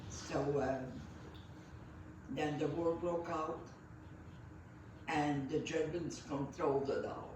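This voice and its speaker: A woman, recalling her life in an interview in a halting manner full of fillers and restarts. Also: low voice, fairly even intonation, noisy background. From Belgium. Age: 90s. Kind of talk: reminiscing storytelling. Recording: echoey room, voice set far from the mic.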